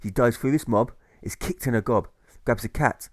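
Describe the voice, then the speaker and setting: A man reading a scripted rhyming audiobook in rhythmic, measured phrases, landing on each rhyme. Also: medium pitch, no echo, studio quality. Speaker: author reading own audiobook.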